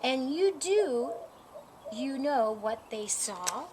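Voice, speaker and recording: A woman reading a children's picture book aloud as a storyteller, with an expressive, deliberate storytime delivery. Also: high-pitched, background noise. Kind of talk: scripted children's story narration.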